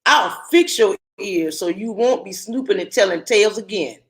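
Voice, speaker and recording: A woman's voice: mid-range voice, animated, moderate pace, echoey room, close to an everyday voice, low-quality recording. A woman voicing a cross female alligator who snaps and scolds in a storybook. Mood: scolding. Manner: snapping, scolding.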